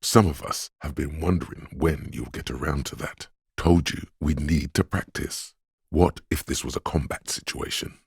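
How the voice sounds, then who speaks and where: An actor playing the coolly urging inner voice of a detective's reflexes in a video game, narrating to the listener in a deliberate, intimate, theatrical way. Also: male voice, no echo, studio quality, heavily acted. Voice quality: very deep, smooth, resonant. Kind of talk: dramatic second-person game narration.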